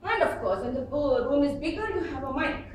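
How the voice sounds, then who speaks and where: A middle-aged woman with a South Indian accent giving an expressive, humorous public speaking workshop with acted examples, recorded in a room at some distance from the mic. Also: sits high, fairly quick. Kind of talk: animated workshop talk with acted examples and anecdotes. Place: indoor meeting room before an audience. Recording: echoey room, distant mic, faint noise.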